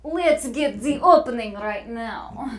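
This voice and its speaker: A female voice actor putting on a series of contrasting theatrical characters. The voice is fairly high, and other people can clearly be heard in the background. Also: lively intonation, slow, roomy sound, crowd noise. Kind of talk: voice acting demonstration.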